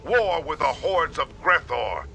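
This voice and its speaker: An actor playing a stern alien warrior leader in a video game, giving orders with formal authority. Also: male voice, a little high, very animated, measured pace, gravelly, sharp tone, a little hiss or hum, full character voice. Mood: stern, commanding. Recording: faint music behind the voice.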